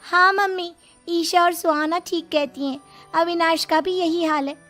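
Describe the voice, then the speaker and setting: A woman voicing young married daughters who grumble in a whiny, animated way about lazy husbands, in a cartoon story. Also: very high voice, unhurried, faint music behind, voice pushed up. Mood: whiny complaining.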